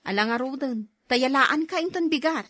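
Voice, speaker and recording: A hardworking female street vendor in an Ilocano-accented radio drama. She is persistent and cheerful, with a very high voice recorded close and dry. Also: expressive, normal speed, a bit bright, no echo. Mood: easygoing, tired.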